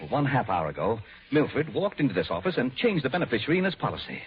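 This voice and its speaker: Man acting a fussy manager, wildly swooping pitch. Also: sing-song, quick pace, close to an everyday voice. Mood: exasperated, grave. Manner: formal, precise, exasperated. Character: fussy, anxious male insurance company manager. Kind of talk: old-time radio drama acting. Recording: dull vintage radio recording.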